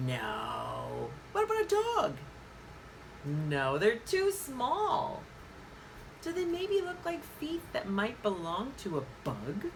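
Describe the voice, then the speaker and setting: A woman leads story time for young children with a very animated, sing-song delivery. She takes her time, putting questions to the listeners and pausing as if they reply. There is clear background noise on the recording. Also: mid-range voice. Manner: sing-song, asks questions and pauses as if for answers. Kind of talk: interactive picture-book reading for young children.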